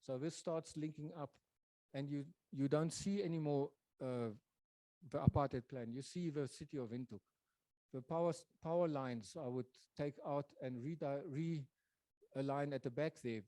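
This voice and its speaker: A man giving a public lecture presentation, talking in a thoughtful way and pausing often with fillers and restarts. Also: a little high, warm tone, dry recording, poor audio. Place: lecture venue.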